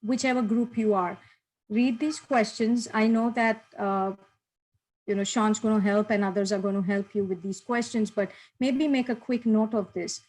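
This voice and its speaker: A woman's voice: mid-range voice, fairly even intonation, normal speed, darkish tone, rough recording. A woman from India leading an online workshop, talking to participants in an encouraging way and laughing often over a video call. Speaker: workshop presenter.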